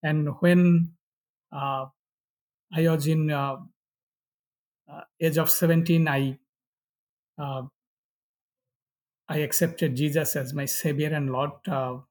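A middle-aged man from Bangladesh with a Bangladeshi accent and Bengali as first language, giving personal testimony haltingly in non-fluent English. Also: a little high, flat delivery, a little mellow, low-quality recording. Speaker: pastor, interview guest.

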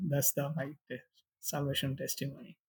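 A middle-aged man from Bangladesh with a Bangladeshi accent and Bengali as first language, giving personal testimony haltingly in non-fluent English. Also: medium pitch, completely flat delivery, breathy edge. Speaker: pastor, interview guest.

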